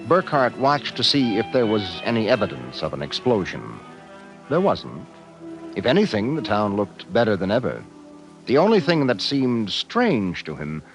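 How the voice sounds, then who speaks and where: A man narrating a vintage 1950s radio sci-fi drama in a grave, deliberate, suspenseful storytelling manner. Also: medium pitch. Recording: muffled vintage radio broadcast recording. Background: dramatic music.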